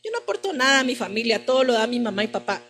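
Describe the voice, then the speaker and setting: A woman's voice: a little high, sing-song, very fast, a bit bright, faint music behind. A woman preaching a teaching sermon, addressing her congregation and repeating phrases for emphasis. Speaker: preacher at a church service. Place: church service, live-streamed.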